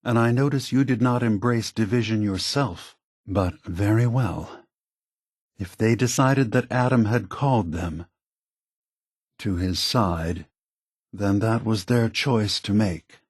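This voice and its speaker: Husky, slow-spoken weary synth leader. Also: male voice, on the low side, dry recording, studio quality. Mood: solemn reproach. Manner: slow, deliberate, solemn. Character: aging synth leader, weary, grave, morally burdened. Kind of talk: scripted video game voice acting.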